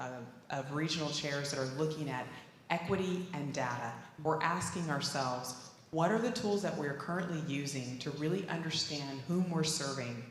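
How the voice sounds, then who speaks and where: A woman speaking on a conference panel in a conversational way, with frequent "you know" fillers. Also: very deep voice. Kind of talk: panel discussion answer. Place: conference room. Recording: roomy hall with faint noise.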